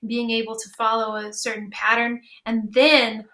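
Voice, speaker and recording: A woman's voice: a little high, little pitch movement, moderate pace, sharp tone, poor audio. A woman speaking conversationally as the moderator of a creative panel discussion.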